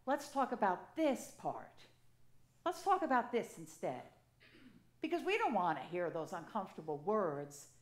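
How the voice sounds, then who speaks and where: Woman priest in her sixties, chatty storytelling sermon at a pulpit mic, mid pitch, roomy church echo. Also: wildly swooping pitch, a little slow. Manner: conversational, personal storytelling with gestures. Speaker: assisting priest. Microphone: gooseneck pulpit mic. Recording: echoey church sanctuary.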